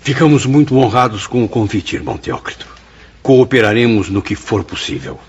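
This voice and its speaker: An actor playing a polite, curious apprentice spirit recounting memories in a radio drama, formal and literary. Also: male voice, mid-range voice, normal speed, slightly rough, close to an everyday voice. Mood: courteous, reflective. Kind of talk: radio drama acting and narration. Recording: slightly muffled radio drama with music.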